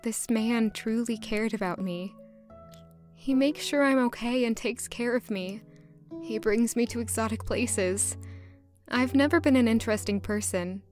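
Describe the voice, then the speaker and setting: A woman playing a gentle, self-doubting young castle maid in an audio drama, speaking softly and earnestly. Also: fairly high pitch, unhurried, soft background music, lightly acted. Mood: tender, smitten. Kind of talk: audio drama acting with intimate first-person narration.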